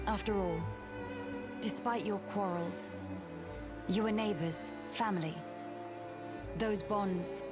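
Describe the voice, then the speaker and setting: An actress playing a composed, stern noblewoman in a video game cutscene, speaking in a formal, measured, authoritative way. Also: on the low side. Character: composed, stern noblewoman urging a veteran to act.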